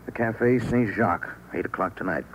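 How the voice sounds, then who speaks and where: A man's voice: fairly low pitch, moderate pace, natural voice. An actor playing an observant, composed American newspaperman in a 1950s radio drama, with clipped, matter-of-fact delivery. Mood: alert, matter-of-fact. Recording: muffled old radio recording, faint noise.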